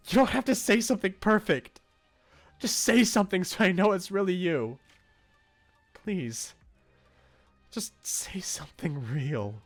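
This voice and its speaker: Man reading as an anxious, frustrated young woman. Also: on the high side. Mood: desperate pleading. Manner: earnest, ranting, pleading. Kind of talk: dramatic reading of game dialogue. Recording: game music behind the voice.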